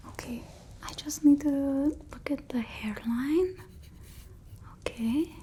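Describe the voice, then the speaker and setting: Casting: haughty female vampire played by a woman for whispered ASMR roleplay, slow and fussy, mellow tone. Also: high-pitched, slight background noise. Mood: fussy, scolding. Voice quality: breathy whisper, soft voiced in places. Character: haughty fussy female vampire mistress instructing her familiar. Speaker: ASMR creator.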